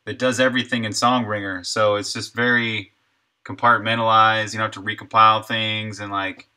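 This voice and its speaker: A man's voice: fairly low pitch, level tone, normal speed, pushed and bright, smooth. A middle-aged man thinking aloud in short, laid-back fragments as he live-streams his coding work. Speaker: game developer and live streamer. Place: indoor room at a desk, streaming while coding.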